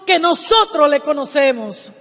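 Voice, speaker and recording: Woman preacher, measured and emphatic, repeats lines and rises to shouts, long church-hall reverb. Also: high voice, lively intonation. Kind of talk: sermon. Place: church sanctuary.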